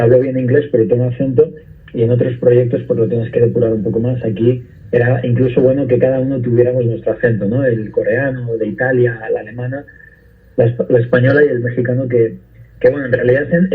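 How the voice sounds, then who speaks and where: A man, an actor being interviewed on the radio, explaining his work thoughtfully at length with small restarts. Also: medium pitch. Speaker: actor guest. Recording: phone-like line.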